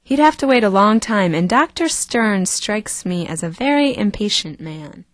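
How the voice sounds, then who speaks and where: A woman's voice: medium pitch, animated, normal speed, dry recording, studio quality, lightly acted. An actress playing a chatty, self-assured female talk show co-host in an audio drama. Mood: wry, chatty.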